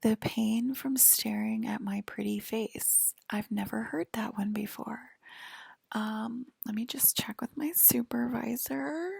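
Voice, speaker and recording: A woman doing a soft-spoken, whispery ASMR role-play as a calm alien guide, talking haltingly and matter-of-factly close to the mic. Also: on the high side, no room sound. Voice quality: breathy, whispery. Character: calm alien abductor guiding a patient aboard a UFO.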